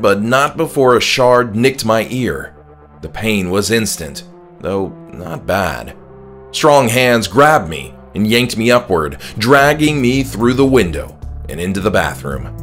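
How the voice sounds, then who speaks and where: Guy reading horror audiobook, lowish voice, measured and dramatic, music behind. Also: a bit bright. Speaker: author and audiobook narrator. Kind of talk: scripted horror narration, first person.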